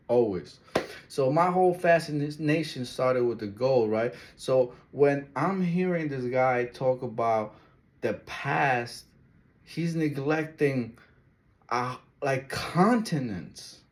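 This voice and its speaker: A man's voice: medium pitch, darkish tone. A man from Ecuador giving casual, emphatic reaction commentary, repeating his key words and using loose street slang. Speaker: video reactor.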